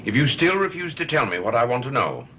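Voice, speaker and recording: An actor playing a stern, demanding head of household, formal and commanding, in a gothic TV soap. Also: male voice, medium pitch, big pitch swings, fast, fairly bright tone, slight background noise. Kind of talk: gothic TV soap opera acting. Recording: old TV soundtrack with music behind.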